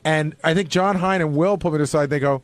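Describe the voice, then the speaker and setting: A man in casual, animated discussion on a radio panel, analysing and recounting conversations. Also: a little high, fairly quick, no room sound. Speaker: radio show staff member and panelist.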